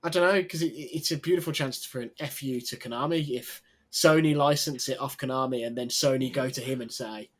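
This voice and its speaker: A man chatting on a video game podcast. His voice has an ordinary middle pitch. He speculates casually, stacking "I think" and ending points with "right?". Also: steady tone, fairly quick. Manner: speculative, hedging with "I think" and tag questions. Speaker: podcast co-host. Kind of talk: casual chat.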